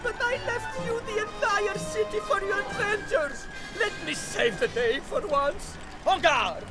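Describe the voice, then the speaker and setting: An actor voicing a pompous, theatrical masked vigilante in a video game, declaiming grandly with easily wounded pride. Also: male voice, very high voice, unhurried, over loud music. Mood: booming, ceremonial.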